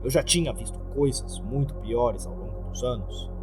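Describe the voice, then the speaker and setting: A man's voice: medium pitch, normal speed, a little mellow. A man with a Brazilian accent giving dramatic scripted narration of a horror story. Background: background music.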